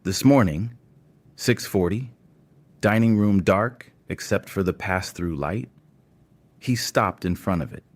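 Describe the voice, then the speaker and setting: Low-voiced male story narrator. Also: takes it slowly, long pauses. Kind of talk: scripted audio story narration.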